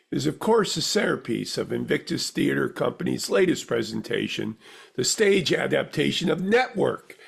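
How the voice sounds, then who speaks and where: Man, theater reviewer, scripted narration, heavily creaky voice, rough husky tone. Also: mid-range voice.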